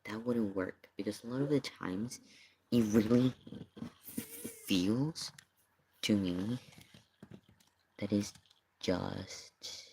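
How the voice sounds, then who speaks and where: Casual reaction streamer: deep, creaky voice, halting and unhurried delivery. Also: mellow, a little hiss or hum, poor audio. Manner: halting, drawn-out phrasing.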